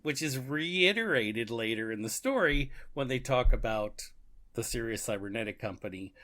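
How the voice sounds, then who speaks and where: Bright-voiced man thinking aloud. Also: medium pitch, expressive, moderate pace. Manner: reflective, thinking aloud, chuckly. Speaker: podcast co-host. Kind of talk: casual book and radio drama discussion.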